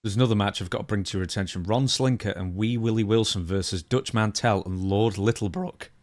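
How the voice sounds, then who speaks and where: A man's voice: lowish voice, brisk, darkish tone. A man co-hosting a casual interview, asking questions in a conversational, self-correcting way. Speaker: podcast co-host asking questions.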